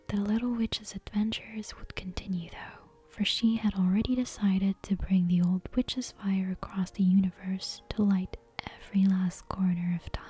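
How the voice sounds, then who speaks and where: Female ASMR host. Whispered, very airy delivery, soft and dark, close-miked in a dry space. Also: medium pitch. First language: non-native English speaker. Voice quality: breathy, unvoiced whisper. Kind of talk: whispered ASMR bedtime reading and casual chat. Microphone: close microphone.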